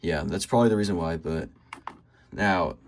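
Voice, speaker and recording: Low-voiced young American man, rambling casually. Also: slight background noise. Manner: halting, self-correcting, rambling. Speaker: hobbyist showing a radio collection. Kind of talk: casual show-and-tell vlog. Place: indoors at home by a desk.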